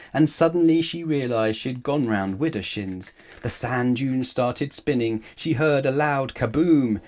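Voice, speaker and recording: A man's voice: mid-range voice, a little mellow. A man reading a rhyming children's storybook aloud as a narrator.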